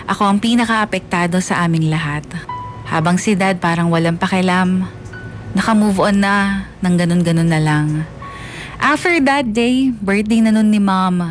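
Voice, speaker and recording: A hurt, resentful young Filipino narrator of a confessional radio drama, voiced by a woman. The delivery is plaintive and reflective at a medium pitch, over a faint music bed. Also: close to an everyday voice. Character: hurt, resentful child telling of parents' separation.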